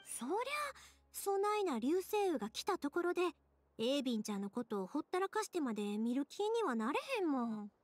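A woman's voice: sits high, big pitch swings, fairly quick, no room sound, soft background music. An actress playing a kind-hearted young woman in an anime game, speaking earnestly and expressively with a Kansai accent. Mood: earnest, gentle. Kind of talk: anime game dialogue acting.

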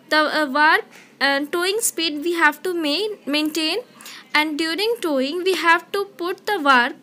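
Slow, high-voiced woman lecturing. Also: sharp tone. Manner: halting, with restarts and fillers while explaining. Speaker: online class teacher. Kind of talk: slide-based lecture.

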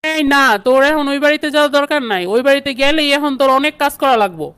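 A woman's voice: sits high, expressive, very fast, a bit bright, no room sound. A woman voicing a bossy, fussing grandmother in a comedy cartoon, with a Bangladeshi accent and a commanding, insistent manner. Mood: bossy, scolding. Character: bossy, fussing grandmother, doting mother-in-law. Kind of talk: comedy cartoon dialogue in colloquial dialect.

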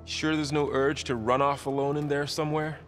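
An actor playing a steady, loyal and gently teasing young male companion in a video game. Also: a little high, brisk, close to an everyday voice. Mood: gentle, teasing. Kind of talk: video game dialogue acting. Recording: faint music behind the voice.

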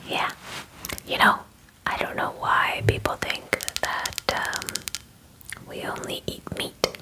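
A woman in her twenties doing a soothing, reassuring ASMR personal-attention roleplay, whispering close to the microphone in an unhurried way. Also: rough recording. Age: 20s. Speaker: ASMR roleplayer playing a werewolf groomer. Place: small indoor room, close up. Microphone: close microphone, near the camera.

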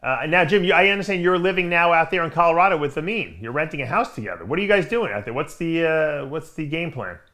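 A middle-aged man hosting a video interview show from a studio, asking questions with lots of quick interjections. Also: on the high side. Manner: energetic, interjecting often. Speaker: host. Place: studio with branded backdrop.

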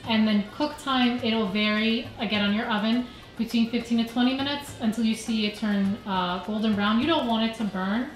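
A woman calmly explaining a dessert recipe step by step in a casual home cooking video. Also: fairly high pitch, normal speed. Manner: calm step-by-step explaining with fillers. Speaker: home cook guest. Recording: echoey room, faint music.

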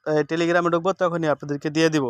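A man's voice: fairly high pitch, level tone, fast talker, clear, resonant tone, no echo. A man giving a casual step-by-step tutorial, instructive and repeating himself as he goes. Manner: instructive, repeats steps and seeks confirmation. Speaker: tech tips host. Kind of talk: casual screen-recording tutorial.

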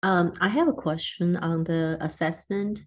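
A middle-aged woman, a teacher, haltingly putting a question on a video call. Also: lowish voice, fairly even intonation. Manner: halting, searching for words. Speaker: teacher, webinar participant. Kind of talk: hesitant question in discussion.

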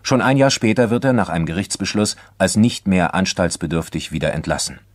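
Deep voiced guy sober crime radio play narrator, formal, measured, dry sound. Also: dry recording. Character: sober male narrator of a true-crime case. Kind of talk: scripted documentary crime radio-drama narration.

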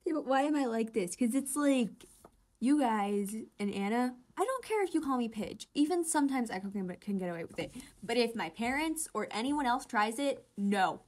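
High-voiced, rambling young-sounding woman. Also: expressive, normal speed. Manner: rambling, gushing, giggly. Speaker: host reading fan comic dubs and commenting. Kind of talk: casual chatty reaction with comic read-outs.

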